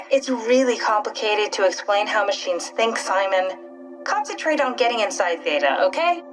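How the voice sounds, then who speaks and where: A woman's voice: mid-range voice, animated, normal speed, lightly acted. An actress voicing a pragmatic female scientist whose mind lives in a machine, with a dry, matter-of-fact way of explaining things in a video game. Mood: businesslike. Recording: echoey room, music underneath.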